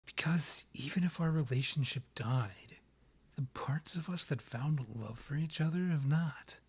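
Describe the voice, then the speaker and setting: Man playing a breathy, slow-spoken, proud vampire king. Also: on the high side, a little mellow. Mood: stiff, defensive. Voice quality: breathy, often near a whisper. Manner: formal, courtly, halting when flustered. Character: proud, guarded vampire king still in love with ex. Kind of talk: romantic ASMR audio roleplay.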